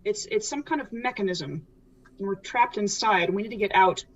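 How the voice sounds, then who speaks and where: Woman, mid-pitched voice, casual role-play, game player, dull band-limited recording. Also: normal speed. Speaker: tabletop role-playing player. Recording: muffled, band-limited lo-fi audio.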